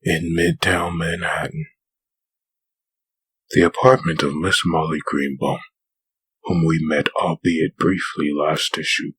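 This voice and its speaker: Man as scene-setting narrator, deep, forceful, measured, solemn, scripted, dry recording. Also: little pitch movement, slightly rough, no echo, exaggerated voice, poor audio.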